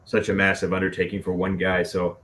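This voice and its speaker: A man from Dallas chatting casually as a band musician being interviewed, halting and self-effacing. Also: low voice, monotone, fairly bright tone. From Dallas, Texas. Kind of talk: casual chat. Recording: clearly noisy background.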